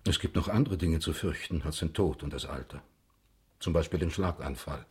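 Very husky, solemn middle-aged prosecutor. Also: male voice, a bass voice, heavily acted. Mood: grave. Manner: measured, formal, solemn. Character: grave, formal royal prosecutor, middle-aged father. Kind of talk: German radio drama acting.

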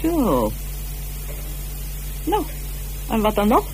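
An actress playing a haughty, wealthy suspect in a radio drama, answering in clipped, challenging phrases. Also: female voice, a little high, wildly swooping pitch, extremely slow, a little hiss or hum, full character voice, low-quality recording. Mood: haughty, mocking. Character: haughty, wealthy married woman, coolly defiant suspect.

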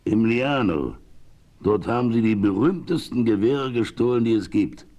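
An actor playing a righteous, authoritative homesteader in a German western radio play, speaking deliberately and sternly. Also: male voice, lowish voice, lively intonation, dark tone, lightly acted. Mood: grave warning. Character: stern, righteous frontier homesteader laying down the law.

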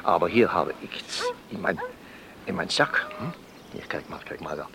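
An actor playing a jovial, wheedling pedlar in a radio play, chuckling and repeating himself with a German accent. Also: male voice, low-pitched, big pitch swings, moderate pace, vocal fry, background noise, heavily acted. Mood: coaxing. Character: jovial wheedling foreign pedlar with a cabinet on his back.

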